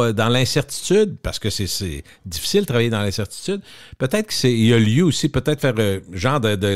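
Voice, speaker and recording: A man hosting a radio interview, chiming in with quick questions and suggestions. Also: mid-range voice. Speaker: radio host.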